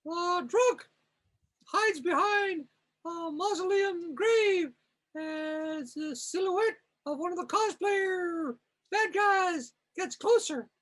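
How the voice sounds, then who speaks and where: A woman with a very high voice describes scenes in a slow, improvised fashion. She sounds wry and amused, casual in her narration. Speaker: narrator of a comic reading.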